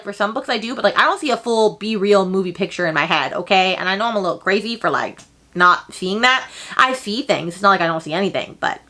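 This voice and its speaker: Female book vlogger, very quick talker, mid-pitched, emphatic and slangy, casual delivery with gushing exaggeration. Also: pushed and bright. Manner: slangy, informal with frequent "like" and "okay". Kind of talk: casual chat to camera.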